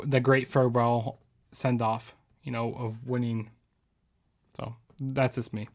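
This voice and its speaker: A man's voice: mid-range voice, fairly bright tone. A man giving a casual, rambling spoken review of a wrestling show, full of ums, you-knows and restarts.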